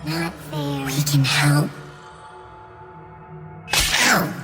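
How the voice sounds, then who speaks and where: A woman's voice: sits low, very slow. A woman voicing an eerie, innocent little mutant girl in a video game, with childish, singsong, pleading phrases. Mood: sad, pleading. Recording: loud game music behind voice.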